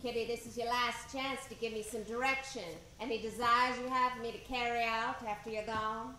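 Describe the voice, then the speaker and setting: A woman's voice: a little high, little pitch movement, brisk, pushed and bright. A woman acting an old prisoner and fortune-telling witch in an old time radio horror play, folksy and ominous. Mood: grave, uneasy. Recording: roomy echoing stage, background noise.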